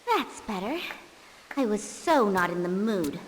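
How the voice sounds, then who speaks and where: A woman's voice: mid-range voice, very animated, measured pace, a little hiss or hum, low-quality recording. A woman playing a brash, bossy girl who is two thousand years old, in a video game cutscene, sassy and blunt. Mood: smug. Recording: game music behind.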